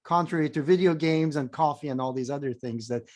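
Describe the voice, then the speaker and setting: A man's voice: fairly high pitch, fairly quick, a little mellow. A man hosting an interview, enthusiastic and affirming toward his guest. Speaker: interview host. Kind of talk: casual interview talk.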